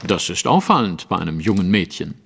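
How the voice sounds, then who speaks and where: A composed, probing detective in an audio drama. He speaks precisely and sceptically in a lowish voice, over faint noise. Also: sing-song, creaky, a bit bright, heavily acted. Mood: cool probing. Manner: measured, articulate, probing. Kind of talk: German audio drama acting.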